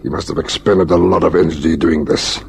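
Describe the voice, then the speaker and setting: An actor with a South African accent playing a brooding, probing police lieutenant in a radio crime drama, ruminative yet pressing. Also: male voice, low voice, fairly even intonation, a little fast, vocal fry, slight background noise. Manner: ruminative, hesitant, then pressing.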